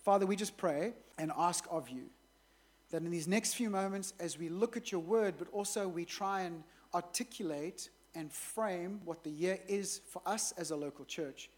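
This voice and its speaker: A woman pastor introducing a sermon series and leading a prayer in an earnest, measured way. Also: lowish voice, a little mellow.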